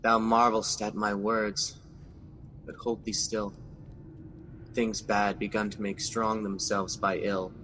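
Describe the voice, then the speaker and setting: A man's voice: fairly low pitch, flat delivery, slow, long pauses, a bit bright, in a large hall, a little hiss or hum. An actor playing Macbeth, a guilt-ridden scheming king, in a classic radio drama. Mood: brooding. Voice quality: brooding, intense.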